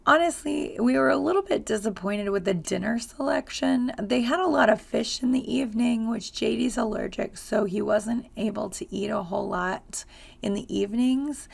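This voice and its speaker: A woman with a hoarse, raspy voice, chatting casually in a travel vlog with frequent ums and uhs. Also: fairly high pitch, moderate pace. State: hoarse from screaming. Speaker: travel vlogger.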